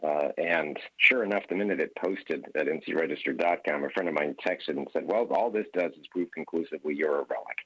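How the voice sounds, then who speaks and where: A man with an extremely deep, slightly husky voice talks as a guest on a radio interview. He is in his fifties, grew up in Hawaii, and tells his stories in a measured, earnest way. The sound is dry and close. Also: pushed and bright, no echo, poor audio. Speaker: guest author.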